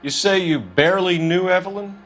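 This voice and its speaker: A man's voice: high voice, moderate pace, a bit bright, faint music behind. An actor playing an earnest police homicide detective in a 1940s crime game, formal and pressing in his questioning. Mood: accusing.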